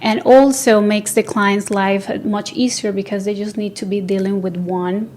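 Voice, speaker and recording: Venezuelan-born woman, design business owner, hesitant studio interviewee, mid-range pitch. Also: steady tone, normal speed, a little mellow, a little hiss or hum. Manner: hesitant, many fillers and restarts. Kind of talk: casual interview answers. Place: radio studio.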